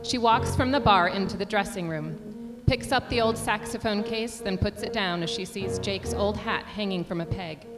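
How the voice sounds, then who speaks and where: A woman's voice: mid-range voice, normal speed, hardly any pauses. A woman reading stage directions as scripted narration at a staged theater reading. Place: performing arts center stage. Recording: reverberant theater hall, music behind.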